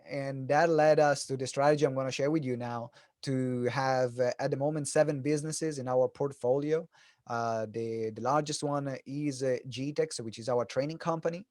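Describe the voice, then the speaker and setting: A man's voice: mid-range voice, darkish tone, no echo, studio quality. A man from Italy with an Italian accent gives an informal, joking talk to an online audience, telling stories as a business coach. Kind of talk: animated presentation to an online audience. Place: online event.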